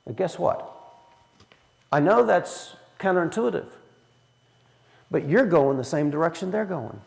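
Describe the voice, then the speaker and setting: Man, Bible study teacher, folksy, fairly high voice, reverberant hall. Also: animated, a little slow, vocal fry, darkish tone. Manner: folksy, asks the room questions. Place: church hall.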